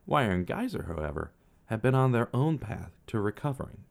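A man giving scripted true crime narration, measured, with casual asides to the viewer. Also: on the low side, very animated, creaky. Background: faint guitar music.

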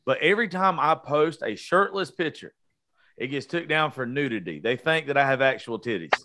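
A man's voice: medium pitch, expressive, normal speed, sharp tone. A man, hosting a casual interview chat in a blunt, joking, foul-mouthed way. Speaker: show host.

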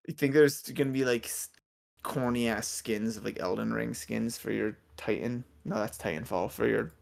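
A man's voice: fairly low pitch, normal speed, gravelly. Joking, swearing male podcast host. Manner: joking, swears freely, rambling with fillers. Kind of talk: casual gaming podcast chat.

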